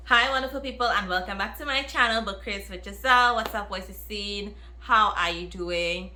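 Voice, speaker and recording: A woman with a bright, forward voice speaks casually and emphatically, like a vlogger sharing reactions with viewers. Her delivery is expressive and conversational. The sound is echoey, with the microphone at some distance. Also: medium pitch, moderate pace, soft background music. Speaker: book review vlogger. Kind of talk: casual reaction and review to camera. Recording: roomy echo, distant microphone.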